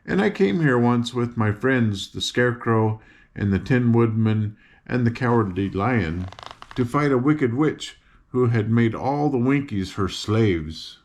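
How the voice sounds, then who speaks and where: A man's voice: medium pitch, lively intonation, unhurried, darkish tone. An older man voicing a cheerful young farm girl who patiently explains things as she guides her friends. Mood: chatty.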